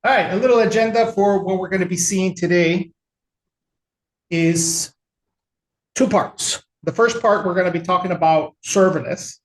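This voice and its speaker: A man's voice: sits high, normal speed. Man presenting a tech webinar, echoey room. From El Salvador. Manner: relaxed, conversational, frequent fillers.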